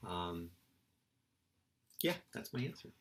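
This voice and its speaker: A man's voice: deep voice, very animated, slow pace, a bit bright, slight background noise. A middle-aged man, a children's book author, giving casual, playful interview answers in simple terms for young listeners.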